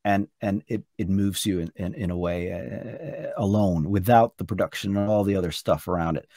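A hoarse middle-aged Norwegian man talks about his music over a video call, his low voice rough and gravelly. He rambles eagerly, restarting phrases as he goes. The sound is dry and close. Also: normal speed, mellow, no echo. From Norway. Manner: chatty, enthusiastic, frequent restarts. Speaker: singer and contest entrant. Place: hotel room indoors.

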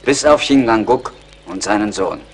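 An actor playing a proud, dignified young Mohican warrior in a German radio play, in solemn, measured declamation. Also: male voice, on the low side, slightly rough, low-quality recording. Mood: proud, calm. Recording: faint background noise.

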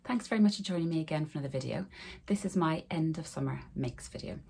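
A woman, hoarse from a cold, chatting casually to camera about her sewing in a small room. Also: low voice, lively intonation, fast talker, warm tone. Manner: friendly, rambling, talks to camera while showing garments. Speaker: sewing vlogger. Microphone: camera in front of her at desk.

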